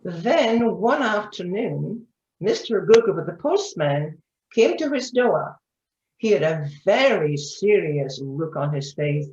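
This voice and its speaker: Woman, readers theatre narrator, lively pitch movement, echoey, lo-fi. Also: slow. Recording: dull, echoey room recording.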